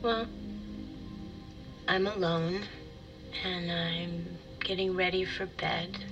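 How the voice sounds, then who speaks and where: An actress playing a wistful woman in an intimate audio drama monologue, confessional and halting, with a breathy delivery. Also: fairly low pitch, fairly even intonation, dull audio, music in the background. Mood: intimate, tender. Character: wistful, intimate divorced woman confiding a fantasy.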